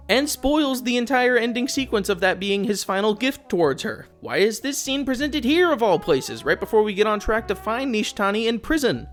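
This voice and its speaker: A man's voice: high-pitched, fairly bright tone. A man giving blunt, sardonic, casually profane video commentary in an opinionated, conversational style. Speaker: video essayist, host. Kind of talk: opinionated game commentary, casual script with asides.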